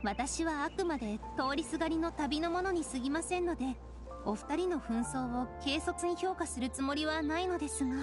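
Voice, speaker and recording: An actress playing a composed young priestess leader in an anime game, gentle, polite and measured. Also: female voice, sits high, lightly acted. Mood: calm, soothing. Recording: game music behind.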